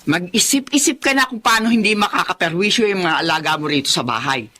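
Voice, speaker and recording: A woman's voice: on the low side, expressive, quick pace, sharp tone. An actress playing a middle-aged Filipino mother in a drama, scolding and exasperated but caring. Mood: exasperated, nagging. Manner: scolding, complaining. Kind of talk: Filipino radio-style drama acting.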